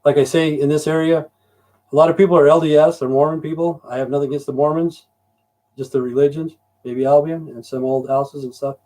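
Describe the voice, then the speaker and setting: A man's voice: a little high, fairly even intonation, a little fast, warm tone, poor audio. A middle-aged man from Idaho, rambling and swearing through a casual livestream with frequent "you know" fillers. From Idaho, USA. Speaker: livestream host.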